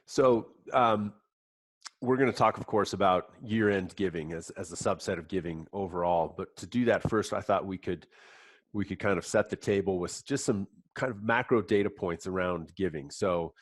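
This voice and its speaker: Deep-voiced man with a stuffy cold. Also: no echo. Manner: halting, many fillers and restarts. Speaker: app company guest. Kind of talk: webinar presentation.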